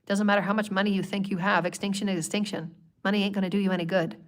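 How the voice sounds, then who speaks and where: A woman hosting a spiritual livestream, hoarse from a cold, talking in long flowing strings of repeated phrases. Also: mid-range voice, flat delivery, very fast. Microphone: livestream microphone.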